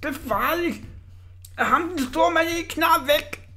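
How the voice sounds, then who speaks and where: A reader voicing a scared, hoarse grown man in a circus who whimpers in panic, pitched well above the reader's own voice. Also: sharp tone. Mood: terrified.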